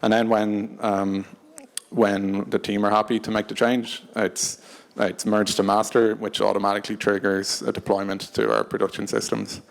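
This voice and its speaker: Low, creaky-voiced man, halting delivery. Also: flat delivery. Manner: hesitant, halting, restarts often. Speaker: panelist from a tech company. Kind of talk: unscripted panel discussion.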